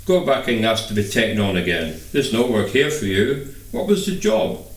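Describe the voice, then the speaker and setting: Brusque older gentleman boss, acted by a man, echoey. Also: lowish voice, sing-song, normal speed. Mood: impatient. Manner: brusque, questioning, dismissive. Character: brusque, impatient older knight running a flying circus. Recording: echoey room, distant microphone.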